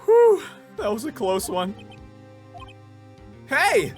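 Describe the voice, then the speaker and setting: A man plays an earnest young defense lawyer in a video game. The voice sits high and jumps around, flustered and exclamatory, with music behind. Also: wildly swooping pitch, drawn-out words, full character voice. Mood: flustered, urgent.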